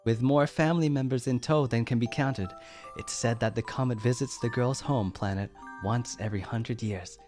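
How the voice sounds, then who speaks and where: A man reading a storybook aloud as a bedtime story, gentle and unhurried. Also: mid-range voice. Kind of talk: storybook narration. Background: background music.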